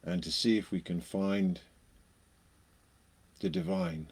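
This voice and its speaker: A man leading a guided meditation, speaking in short phrases with long pauses between them. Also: sits low, fairly even intonation, darkish tone.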